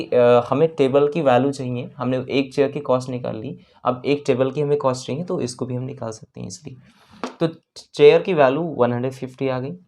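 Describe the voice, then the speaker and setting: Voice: medium pitch, a little mellow. A teacher explains exam maths step by step, repeating figures and steps as they go.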